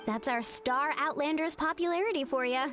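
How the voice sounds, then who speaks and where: Casting: actress as encouraging young tribeswoman, high voice, spirited, anime game, soft music behind. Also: normal speed, close to an everyday voice. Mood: cheerful.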